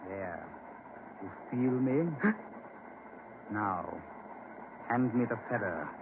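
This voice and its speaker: Slow, menacing, vengeful ghost. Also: male voice, fairly low pitch, vocal fry, phone-quality sound, heavily acted. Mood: quietly menacing. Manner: slow, menacing, mocking delivery. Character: vengeful ghost of a murdered man, coldly taunting. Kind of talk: 1940s radio horror acting. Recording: thin, noisy 1940s radio recording.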